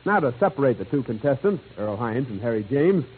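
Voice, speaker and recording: A man's voice: mid-range voice, very animated, brisk, breathy edge. An American man hosting a 1940s radio music show, announcing in showy, jive-talking patter. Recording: old, noisy, narrow-band radio broadcast.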